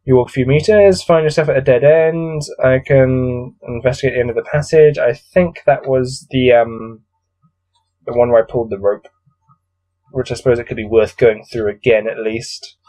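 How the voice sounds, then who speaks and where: A man's voice: medium pitch, fairly even intonation, moderate pace, warm tone, poor audio. A man hosting a gamebook read-through, mixing read-aloud narration with casual, bored and exasperated commentary. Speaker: host reading a gamebook aloud.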